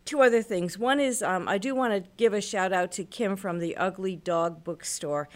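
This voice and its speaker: Hoarse woman hosting local radio. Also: mid-range voice, normal speed. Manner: chatty, rambling with fillers. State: hoarse from illness. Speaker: radio show host. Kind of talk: local radio talk show.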